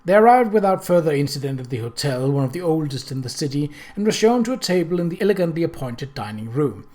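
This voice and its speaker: A man's voice: fairly high pitch, sing-song, fast, a little mellow. A man reading a novel aloud as an audiobook narrator, in scripted storytelling prose.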